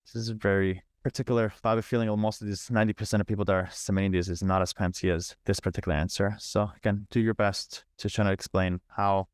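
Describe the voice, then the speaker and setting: Guy with a lowish voice hosting an online tutorial, super informal, thinks out loud, dry close sound. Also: level tone, fast, hardly any pauses, clear, resonant tone, no echo. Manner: informal, repetitive, thinking aloud step by step. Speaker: host of an online software setup training. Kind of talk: casual live screen-share walkthrough. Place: live online video call.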